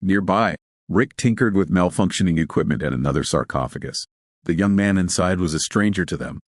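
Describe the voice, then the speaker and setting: A man's voice: low voice, animated, a little fast, dry recording, clean studio sound. A man reading scripted dramatic narration of a science fiction horror story.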